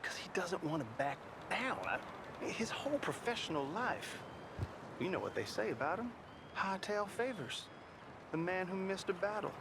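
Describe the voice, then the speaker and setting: Worried army gossip for a game; slightly high, animated, conspiratorial. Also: male voice, normal speed. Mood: confiding. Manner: conspiratorial, explanatory. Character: gossiping, worried soldier confiding army camp intrigue. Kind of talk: dramatic video game acting. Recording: clear background noise.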